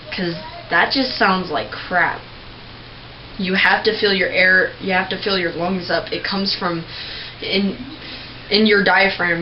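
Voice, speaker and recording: A young woman giving a casual, rambling tutorial on screaming technique, clearing her throat often. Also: lowish voice. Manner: chatty, rambling, with many filler words and throat clearing. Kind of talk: casual home tutorial video. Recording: dull lo-fi home recording with faint noise.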